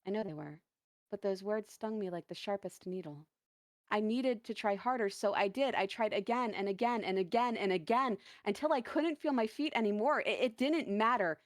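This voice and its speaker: A woman reading a first-person dramatic story as a lonely, self-doubting dancer lost in a forest, earnest and halting. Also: medium pitch, no room sound, studio quality, natural voice. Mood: anxious, striving.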